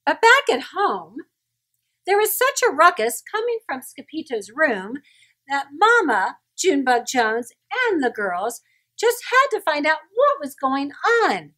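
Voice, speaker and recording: A woman with a very high, lively voice reads a story aloud to children. She takes her time and acts it up with big swings in pitch, pausing to put questions to the listeners. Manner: expressive, dramatic, asks listeners questions.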